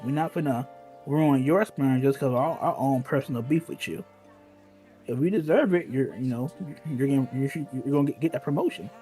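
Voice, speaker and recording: A man's voice: mid-range voice, a little fast, dark tone, faint music behind, low-quality recording. A man reminiscing in casual, slangy talk, emphatic and often repeating himself.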